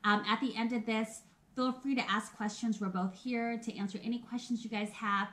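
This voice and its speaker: A woman's voice: a little high, steady tone, moderate pace, a bit bright. A woman in her thirties hosting a casual live video, chatty and personal and often laughing as she talks straight to her viewers. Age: 30s. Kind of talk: casual live-stream chat.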